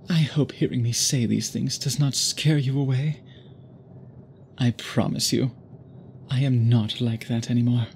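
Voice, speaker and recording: A man playing an obsessed, guilt-ridden immortal vampire suitor in an intimate audio roleplay, speaking formally in halting, brooding phrases. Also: medium pitch, darkish tone, slight background noise, natural voice. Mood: earnest, guarded. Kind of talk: intimate ASMR roleplay, dramatic monologue.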